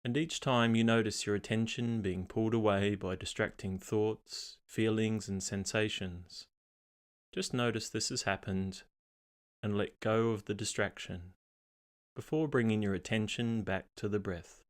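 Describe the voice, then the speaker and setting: A man reading a scripted guided meditation in a calm, unhurried, measured way, pausing between phrases. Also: lowish voice.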